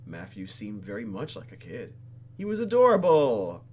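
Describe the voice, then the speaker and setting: A man's voice: lowish voice, wildly swooping pitch, normal speed, a little mellow, slight background noise. A man hosting a let's play, reading the game's narration aloud expressively and dropping in jokey asides. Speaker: gaming host. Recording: game music under commentary.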